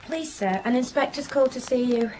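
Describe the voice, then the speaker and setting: Actress as meek maid, high mellow voice. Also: female voice, a little fast, slight background noise, lightly acted. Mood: deferential. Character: deferential female household maid, polite and meek. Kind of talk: radio play acting.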